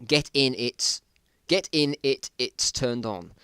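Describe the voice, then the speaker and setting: A man's voice: medium pitch, animated, takes it slowly, bright, forceful tone, smooth, no room sound, clean studio sound. A man voicing panicky male survivors of a zombie apocalypse, barking urgent, exclamatory lines in an amateur story reading. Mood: frantic.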